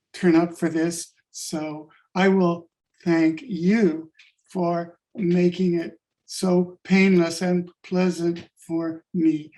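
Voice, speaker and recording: A man who stutters, presenting a workshop on a video call, talking haltingly and joking. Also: fairly high pitch, warm tone. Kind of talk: casual thanks in a group call.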